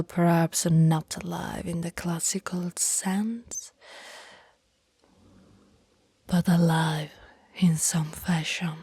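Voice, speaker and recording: A woman voicing a tormented, lovesick, centuries-old female vampire in a slow whispered ASMR roleplay, with theatrical, formal old-fashioned phrasing. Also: lowish voice, mellow, breathy edge, no echo, full character voice. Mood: tormented, confessional. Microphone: close mic, dry.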